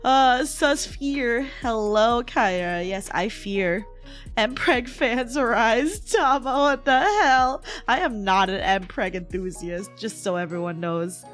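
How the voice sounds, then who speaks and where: Hoarse, high-voiced woman streamer chatting casually. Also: expressive, slow, a bit bright. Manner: giggly, playful. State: hoarse, losing her voice, cracking. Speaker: livestream host, VTuber. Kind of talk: casual livestream chat, reading and answering chat messages. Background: background music.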